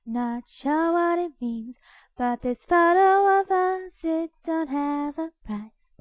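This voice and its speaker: A woman's voice: very high voice, slow pace, dry recording, studio quality, soft background music. A woman amateur singer casually singing songs a cappella from memory, without any backing. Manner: casual, informal, unaccompanied singing.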